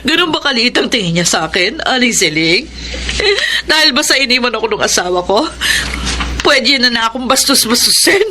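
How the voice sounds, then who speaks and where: An actress playing a hardworking single mother and market vendor in a radio drama, earnest and expressive. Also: fairly high pitch, fairly quick, a little hiss or hum. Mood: hurt, indignant.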